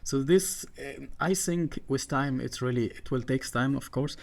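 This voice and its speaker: A man's voice: mid-range voice, creaky. A middle-aged man from Egypt, a guest on a podcast, speaking with many fillers and restarts. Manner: halting, many fillers and restarts, non-native grammar. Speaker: water management guest. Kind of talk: podcast interview.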